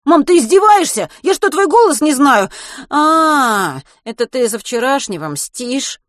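Teenage boy played by a woman, higher pitch, agitated, indignant, impatient, exclamatory, audiobook character, dry sound. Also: big pitch swings, measured pace, a bit bright, no echo. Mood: exasperated. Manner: exclamatory, blurting questions.

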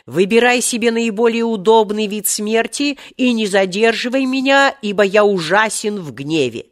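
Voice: medium pitch, expressive, slow pace, a bit bright. Pompous, wrathful old genie acted by a storyteller. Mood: stern, impatient. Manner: archaic, grandiose, menacing speech. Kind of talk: acted children's storybook reading.

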